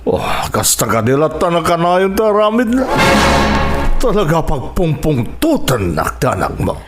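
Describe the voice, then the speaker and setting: A scolding, exasperated Ilocano father in a radio drama. His voice is a little high and swings very widely in pitch, with music clearly underneath. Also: wildly swooping pitch, normal speed. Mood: grumbling.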